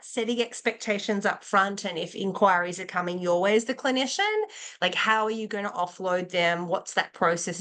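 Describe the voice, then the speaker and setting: An Australian woman gives a steady, explanatory webinar talk to fellow clinicians. Her pitch sits in an ordinary middle range, and she works through each point methodically, now and then pausing on a filler or restarting a phrase. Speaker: clinician presenter. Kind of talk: webinar presentation.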